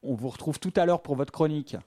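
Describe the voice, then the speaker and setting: Chatty male radio host, mellow voice. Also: fairly high pitch, fast talker. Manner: chatty, leisurely, filler-heavy. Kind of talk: casual radio show hosting. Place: radio studio.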